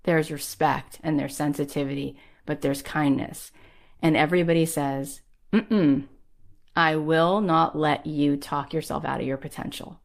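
A woman's voice: low-pitched, steady tone, unhurried, vocal fry. A middle-aged woman hosting a podcast, giving an earnest, encouraging motivational talk with emphatic stresses. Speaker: podcast host and coach.

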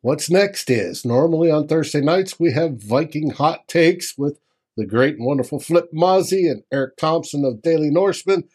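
Higher-voiced man co-hosting a podcast. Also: expressive, normal speed, a little mellow, slightly rough. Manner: wordy, reads sponsor plugs. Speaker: podcast co-host. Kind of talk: casual chat.

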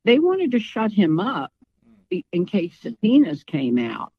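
A woman's voice: mid-range voice, huge pitch swings, unhurried. A woman speaking as an interview guest in a conversational, outspoken and emphatic way, with slightly lo-fi sound.

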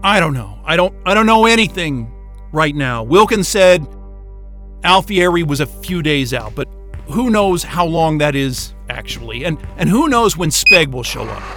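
A frantic, worn-out crewman in a sci-fi audio drama. His voice runs high and swings widely as he stammers and curses angrily, with music behind him. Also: sing-song, normal speed, pushed and bright. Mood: exasperated, anxious. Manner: agitated, sweary, stammering. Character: frantic, exhausted, angry male station crewman.

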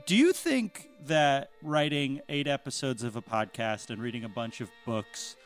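A man reads a sponsor message for his podcast in a conversational way. His voice is mid-pitched, with a light music bed underneath. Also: moderate pace.

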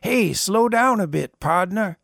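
A tinny, high old desert drifter. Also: male voice, animated, unhurried, vocal fry, fairly bright tone, no echo, studio quality, full character voice. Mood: knowing. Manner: drawling, folksy, chatty. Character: skinny old bearded desert drifter, cheerful and sly.

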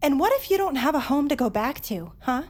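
A woman's voice: high voice, sing-song, fairly quick, a little mellow. An actress playing a determined, bossy, sarcastic teenage girl in an audio drama. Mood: insistent.

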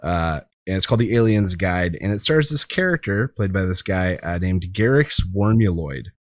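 A man's voice: sits low, animated, normal speed, no echo. A man co-hosting a podcast, casually chatting and giving recommendations. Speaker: podcast co-host. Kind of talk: casual review and recommendation chat.

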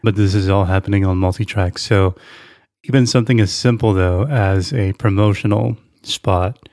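A man's voice: on the low side, steady tone, normal speed, darkish tone, dry recording, studio quality. A man hosting a podcast, asking questions in a loose, conversational way. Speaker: podcast host. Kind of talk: casual podcast interview.

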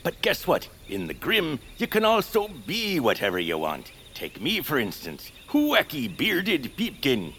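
An actor playing an eccentric, socially awkward bearded hermit creature in a family audio drama, rambling and friendly. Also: male voice, on the high side, wildly swooping pitch, unhurried, hardly any pauses, sharp tone, a little hiss or hum, full character voice. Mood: chatty, quirky. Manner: rambling, muttering, quirky. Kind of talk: family audio fiction character acting.

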